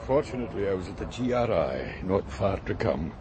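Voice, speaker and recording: Wry, swooping police doctor. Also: male voice, sits low, big pitch swings, brisk, noisy background. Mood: genial, wry. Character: genial, wry male police forensic doctor. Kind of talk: naturalistic radio drama acting. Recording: outdoor street scene with background bustle.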